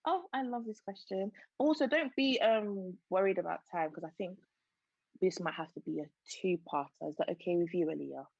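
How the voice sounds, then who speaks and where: A woman's voice: fairly low pitch, normal speed, vocal fry, a little mellow, no room sound. A young woman hosting a casual podcast chat, earnest and reflective as she shares her views. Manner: earnest, reflective, speaks of faith. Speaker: podcast host. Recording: dull, dry lo-fi podcast audio.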